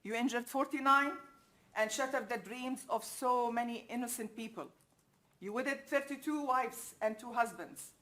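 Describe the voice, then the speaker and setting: Grave, higher-voiced woman reading a statement. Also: sharp tone, low-quality recording. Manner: grave, deliberate, direct address. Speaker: bereaved relative reading a victim impact statement. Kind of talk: prepared statement read aloud.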